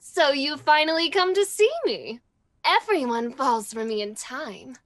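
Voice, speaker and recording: An actress plays a seductive video game villainess. Her voice is high, lively and unhurried, cooing with hunger. There is clear noise and ambience behind her. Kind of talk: video game character performance.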